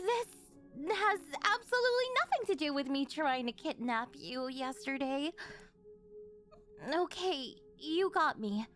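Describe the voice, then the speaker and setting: A woman's voice: sits high, fairly bright tone, full character voice. A woman playing a rich, doting and obsessive older yandere girlfriend in an ASMR roleplay, cooing and over-eager as she talks to the listener. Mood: flustered. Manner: gushing, cooing, over-eager, flustered denials.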